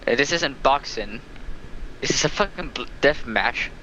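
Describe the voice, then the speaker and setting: A man putting on a dopey, forceful tough-guy voice for jokes on a stream. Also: medium pitch, lively intonation, unhurried. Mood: grave, emphatic. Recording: muffled, faintly noisy stream audio.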